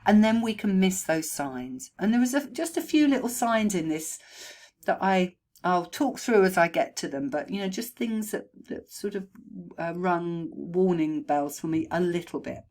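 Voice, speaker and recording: A woman horse trainer and behaviourist, hoarse from a chest cold, talking through riding feedback in a recorded voice-over on a new microphone, chatty and full of ums and restarts. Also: lowish voice, expressive, normal speed, darkish tone. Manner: chatty, thinking aloud with many ums and restarts. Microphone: new home microphone.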